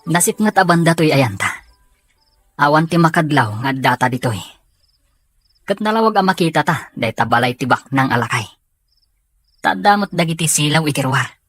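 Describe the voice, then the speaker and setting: A man acting a questioning partner in crime in an audio drama, with a slightly husky voice. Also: a little high, expressive, moderate pace, long pauses, fairly bright tone, no room sound, soft background music. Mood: wary, questioning. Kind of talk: acted dialogue in an audio drama.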